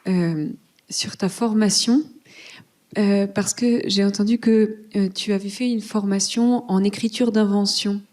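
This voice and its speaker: A woman student chatting with a guest author, friendly and casual in her questions. Her voice sits at an ordinary middle pitch. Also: steady tone, unhurried, darkish tone. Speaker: student interviewer. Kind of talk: asking questions at a book talk.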